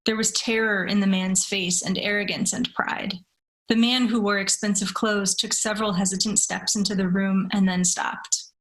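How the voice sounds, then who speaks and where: Woman speaking with deliberate phrasing. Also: medium pitch, steady tone, a bit bright, poor audio. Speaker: story reader. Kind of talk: dramatic literary reading, then casual discussion.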